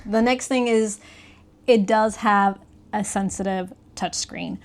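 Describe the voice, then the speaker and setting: A woman's voice: mid-range voice, fairly even intonation, slow. A woman giving a casual, conversational product review, explaining things as she goes.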